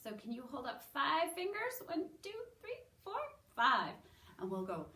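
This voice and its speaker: Animated, high-voiced woman hosting children's story time. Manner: sing-song, animated, encouraging, asking questions and pausing for answers. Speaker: children's story time host at a public library. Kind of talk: children's storytelling and singing, addressing young listeners. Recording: roomy echo, distant microphone.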